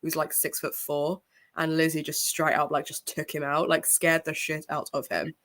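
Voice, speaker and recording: A woman's voice: deep voice, level tone, moderate pace, fairly bright tone. A young woman hosting a book livestream, talking casually with a blocked nose and sore throat, greeting viewers by name and laughing often. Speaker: book channel host.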